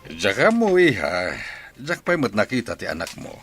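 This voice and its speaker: A blustering, evasive father in middle age, played by a man. His voice is very deep but leaps up and down as he dodges questions. He sounds like a figure in a homespun radio drama. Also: wildly swooping pitch, moderate pace, over music.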